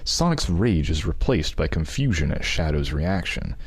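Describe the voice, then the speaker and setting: Very deep male story narrator. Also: very animated, moderate pace, breathy edge. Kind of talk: dramatic scripted fan fiction narration. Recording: loud music behind the voice.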